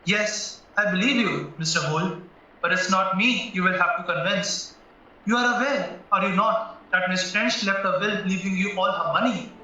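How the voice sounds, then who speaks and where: A man acting in an audio play as a stern, skeptical defence lawyer, questioning in a formal, measured way. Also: high voice, bright, forceful tone. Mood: grave, skeptical. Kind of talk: stage-style audio drama acting. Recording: very echoey, muffled, distant, music underneath.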